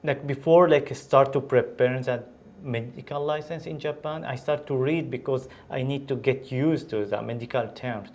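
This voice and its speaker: A man's voice: medium pitch, moderate pace, hardly any pauses, darkish tone. A man from Syria, a physician, answering interview questions in English with many fillers and restarts. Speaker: guest, physician.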